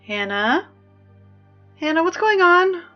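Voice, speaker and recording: A woman playing a chipper high school student reading announcements in an audio drama, brisk and deadpan about absurd horrors. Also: highs cut off, soft background music, heavily acted. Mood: confused, alarmed. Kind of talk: scripted audio drama acting as public announcements.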